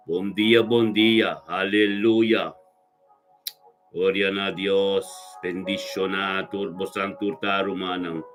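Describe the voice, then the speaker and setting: A man's voice: deep voice, little pitch movement, slow pace, a bit bright. Man in fervent, repetitive prayer over music. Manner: repetitive, with frequent interjected praise exclamations. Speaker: prayer leader. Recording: music playing behind the voice.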